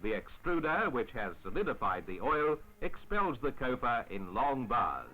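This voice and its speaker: A man narrating a 1950s newsreel in a formal, measured announcer style on an old film soundtrack. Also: medium pitch, very animated, pushed and bright, low-quality recording. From Australia. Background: music.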